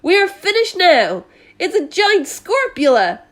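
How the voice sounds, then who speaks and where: A woman reading aloud and voicing a panicky, excitable male fire chief who yells in alarm. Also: moderate pace. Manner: excitable, yelling, voice climbing high.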